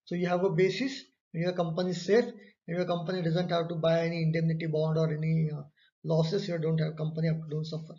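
A man's voice: a little high, level tone, quick pace, warm tone. A man, lecturing in an online course and repeating key phrases for emphasis. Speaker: instructor. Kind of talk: online course lecture walking through slides. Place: indoor room, webcam overlay on slides. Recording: slightly dull lo-fi recording.

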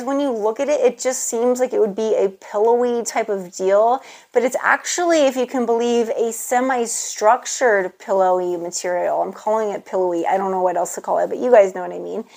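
A woman's voice: fairly high pitch, normal speed, creaky. A woman in her thirties gushing to viewers as she unboxes handbags in a casual vlog, on a lapel mic indoors. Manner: gushing, enthusiastic, addresses viewers as "you guys". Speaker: luxury handbag vlogger. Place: indoor room with handbag shelves. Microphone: clip-on lapel mic.

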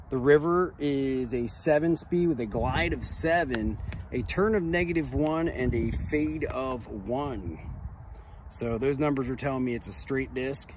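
A man in his forties giving a relaxed, plain-spoken disc golf lesson outdoors in an open field, recorded on a nearby camera. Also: mid-range voice, noisy background. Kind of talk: outdoor instructional talk.

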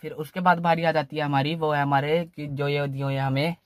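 A man's voice: on the high side, little pitch movement, a little fast, a little hiss or hum. A young man explains driving tips in a loose, repetitive way, saying "theek hai" again and again, recorded on a phone inside a parked car. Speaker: car channel host. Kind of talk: casual how-to talk in a parked car.

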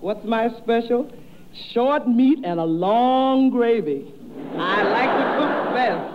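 A woman's voice: fairly high pitch, lively intonation, slow pace. A middle-aged woman, an amateur singer, bantering frankly and jokingly in a 1930s radio interview. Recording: muffled, faintly noisy 1930s radio broadcast.

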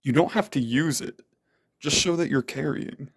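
A man's voice: on the high side, moderate pace, slightly rough, dry recording. A man playing a worried young man trying to reassure his friend, earnest and subdued, in a horror story. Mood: defensive, uneasy. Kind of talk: dramatised horror story reading. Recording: eerie music behind. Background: ominous background music.

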